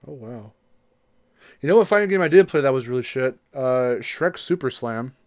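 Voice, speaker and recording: Podcast co-host, medium pitch voice, rambly casual game talk, really dry recording. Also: animated, normal speed, darkish tone, no room sound. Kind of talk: casual chat about video games.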